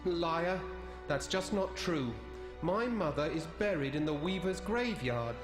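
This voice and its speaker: A woman playing a naive, earnest seventeen-year-old weaver boy in a fantasy video game, with a wondering, halting manner. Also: on the low side, animated, music in the background. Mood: disbelieving. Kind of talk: scripted video game voice acting.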